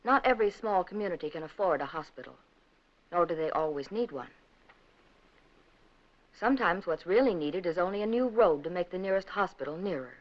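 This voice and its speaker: A woman delivering scripted 1950s documentary narration in an earnest, measured, rhetorical manner. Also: on the low side, lively intonation. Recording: dull 1950s film soundtrack, music behind. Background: background music.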